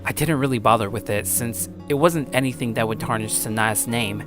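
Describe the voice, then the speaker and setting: A man acting as a blunt high school boy, narrating his own story and speaking dialogue in an earnest, tough way. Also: brisk, over music, close to an everyday voice. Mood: serious, determined. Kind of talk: first-person dramatic narration and dialogue.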